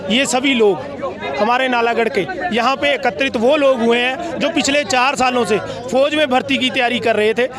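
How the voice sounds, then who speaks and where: A man's voice: very high-pitched, fairly even intonation, normal speed. Earnest man addressing a street protest. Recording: crowd noise outdoors.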